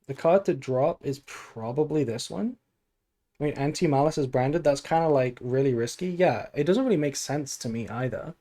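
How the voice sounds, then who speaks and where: Male streamer, mid pitch, dark soft tone, drowsy and low-key, casual commentary. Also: normal speed. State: sleepy. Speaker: game streamer. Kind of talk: casual gameplay stream chat and deck analysis.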